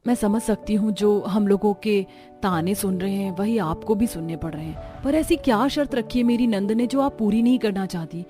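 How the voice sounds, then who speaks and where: A woman's voice: mid-range voice, moderate pace, music in the background, close to an everyday voice. A woman voicing a polite, concerned young sister-in-law in a cartoon story. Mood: earnest.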